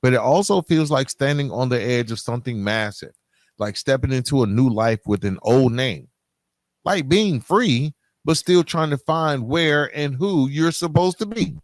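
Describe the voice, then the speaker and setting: A middle-aged man speaks as a solo podcast host in a dry, close-sounding space. His voice sits at an average pitch, and he talks reflectively and conversationally to his listeners, leaning on key words for emphasis. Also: lively intonation, moderate pace, no echo. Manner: conversational, emphatic, often checks in with "right?".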